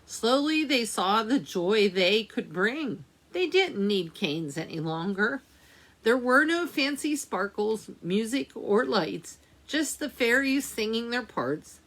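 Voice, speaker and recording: Slow, very animated woman reading a storybook. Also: on the high side. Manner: dramatic, drawn-out phrasing with chatty asides to listeners. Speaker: children's storytime reader at a library. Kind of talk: expressive picture-book read-aloud for young children.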